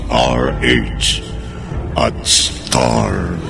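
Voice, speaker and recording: Brief: male horror host, deep, very slow and gravelly, cavernous reverb with music underneath. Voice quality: gravelly, drawn-out delivery. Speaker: show narrator. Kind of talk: scripted horror radio narration. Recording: echoey long reverb with music.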